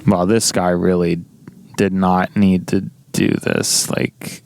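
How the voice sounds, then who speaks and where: A young man with a naturally loud voice, rambling casually to the camera with many restarts and self-corrections. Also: low voice, flat delivery, takes it slowly, gravelly. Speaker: vlogger.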